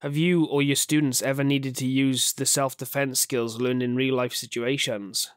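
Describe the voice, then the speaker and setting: A man reading out interview questions in a measured, prepared way. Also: medium pitch, level tone, slight background noise.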